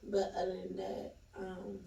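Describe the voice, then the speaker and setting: A woman's voice: medium pitch, monotone, measured pace, vocal fry, mellow, a little hiss or hum. A woman from Florida, a comedian chatting loosely as an interview guest and telling stories in slang. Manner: loose, laughing storyteller, Southern slang. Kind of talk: casual interview chat. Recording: roomy, distant from the mic.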